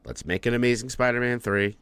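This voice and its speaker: A man's voice: mid-range voice, fast, a bit bright, clean tone, dry recording. A man co-hosting a casual movie podcast, rambling through his points with lots of fillers, restarts and swearing. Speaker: podcast co-host.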